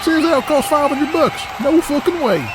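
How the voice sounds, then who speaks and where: A man's voice: very high voice, moderate pace, crowd noise, noisy background, pitched above the performer's own voice. A man voicing a small, curt male wrestling referee in an audio drama, speaking in terse, clipped phrases. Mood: terse, urgent.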